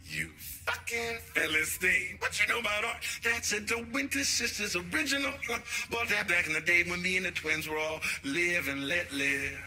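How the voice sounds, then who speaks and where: A flamboyant pimp in a video game, high-pitched and brash, with an American accent and an electronic-sounding voice. He swaggers through slangy street talk over music. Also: big pitch swings, moderate pace, few pauses, sharp tone, exaggerated voice. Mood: cocky. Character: flamboyant middle-aged male pimp, brash and jovial. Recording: music behind the voice.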